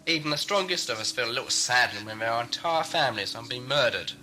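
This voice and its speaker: A man's voice: mid-range voice, expressive, fast, bright, forceful tone, faint music behind, low-quality recording. A man playing an eccentric, scatterbrained time-traveller in an audio drama, wry, breezy and flippant. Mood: grave, cutting. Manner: wry, breezy, talking to himself. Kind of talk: fan audio drama acting.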